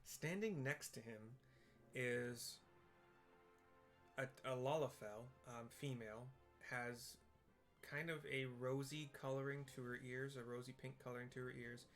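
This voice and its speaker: Halting man with an even, unvarying tone. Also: medium pitch. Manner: hesitant, halting, searching for plain comparisons. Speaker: video maker describing game scenes. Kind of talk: improvised audio description of a game. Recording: game music behind the voice. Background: game music.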